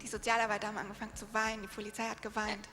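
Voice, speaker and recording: A woman's voice: mid-range voice, monotone, rapid-fire, bright, forceful tone. A woman interpreting live from a stage, passing on each phrase in turn. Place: large event hall. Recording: hall reverb, music behind, live PA. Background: music.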